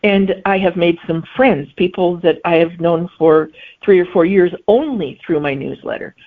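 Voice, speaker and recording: A middle-aged woman, a guest author, answering interview questions in a thoughtful way and pausing to find her words. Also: fairly low pitch. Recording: phone-like remote line.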